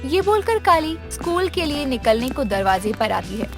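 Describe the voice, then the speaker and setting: High-pitched woman story narrator. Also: sing-song, normal speed, over music. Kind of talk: scripted dramatic story narration.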